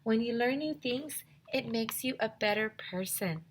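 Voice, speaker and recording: A woman's voice: on the high side, moderate pace, slight background noise, voice pushed up, lightly acted. A woman voicing a prim, bossy know-it-all schoolgirl with a prissy, preachy manner in a storybook. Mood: smug.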